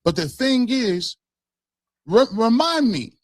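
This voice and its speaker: A man with a notably high voice debates in a heated back-and-forth, firing off pointed questions one after another. His voice sounds close and dry, with no room around it. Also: very animated, no room sound. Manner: argumentative, fires rapid pointed questions. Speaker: co-host.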